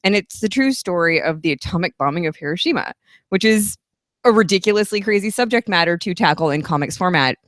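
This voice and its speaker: A woman's voice: lowish voice, lively intonation, brisk, no room sound, clean studio sound. A woman in her thirties, a guest author at a live event, giving long, chatty, earnest answers. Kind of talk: casual interview at a live event.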